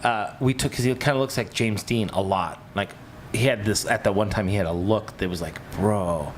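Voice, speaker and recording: Excitable, foul-mouthed podcast host, a man. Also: lowish voice, moderate pace, a little hiss or hum. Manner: excitable, swears a lot, talks over others. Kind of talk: casual chat.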